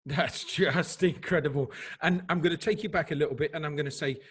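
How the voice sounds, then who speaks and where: A man hosting a sports podcast, energetic and upbeat as he asks questions. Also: a little high, brisk, a little hiss or hum. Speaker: podcast host.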